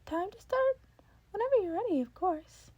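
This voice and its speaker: A woman's voice: very high voice, lively intonation, normal speed, a little mellow, muffled recording, voice pushed up. A woman voicing a small, furry male creature, a polite and eagerly curious mentor with formal, inquisitive phrasing in an audiobook-style story. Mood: excited, curious.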